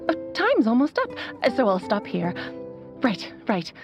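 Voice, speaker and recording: A woman's voice: fairly high pitch, wildly swooping pitch, a little slow, full character voice. A woman playing a loving, whimsical mother in a video game, speaking in a tender, lyrical, theatrical way. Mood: playful, fond. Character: loving whimsical mother, long-lived mage, playful and wistful. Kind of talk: video game voice acting, storytelling monologue. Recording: slightly muffled, music behind. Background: background music.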